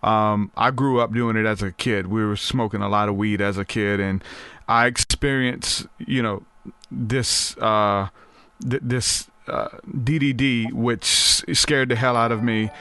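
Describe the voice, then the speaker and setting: Smooth low-voiced male podcast host. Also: steady tone, bright, forceful tone, no room sound. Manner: casual, halting, many fillers. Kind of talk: podcast interview.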